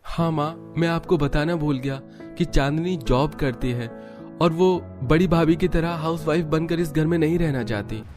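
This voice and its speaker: A man's voice: a little high, normal speed, over music, close to an everyday voice. A man voicing a mild young husband in a cartoon family story, apologetic and placating.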